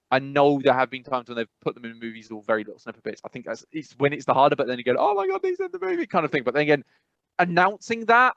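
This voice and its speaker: Casual male podcast co-host, slightly high voice, rambling delivery, muffled low-fidelity sound. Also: a bit bright, no echo. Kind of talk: casual chat.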